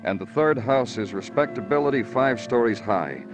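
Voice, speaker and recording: An American actor playing a weary, poetic New York police lieutenant in old radio crime drama, with terse, brooding delivery. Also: male voice, medium pitch, lively intonation, moderate pace, close to an everyday voice. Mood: brooding narration. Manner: terse questions, brooding literary narration. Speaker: lead actor and narrator. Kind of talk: 1940s-50s American radio crime drama acting and narration. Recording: vintage radio recording with music.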